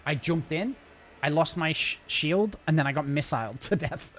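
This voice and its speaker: A man's voice: a little high, fairly bright tone, a little hiss or hum. A man chatting casually and rambling while live-streaming a game from home. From north of England. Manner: chatty, rambling, fast-talking. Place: home gaming setup.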